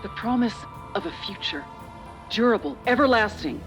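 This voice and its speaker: A woman's voice: on the high side, animated, over music, close to an everyday voice. A middle-aged woman playing a calculating, slightly condescending corporate official and parent in a dramatic video game. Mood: persuasive, insistent. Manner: measured, persuasive, slightly condescending. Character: older female corporate official and parent, calculating, persuasive, patronizing.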